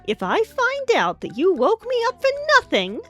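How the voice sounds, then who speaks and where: A woman acts out a vain male engine in a children's story, snarky and easily rattled. He talks in a raised, animated, mocking voice. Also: high-pitched, slow pace, soft background music, pitched above the performer's own voice, full character voice. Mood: annoyed, alarmed.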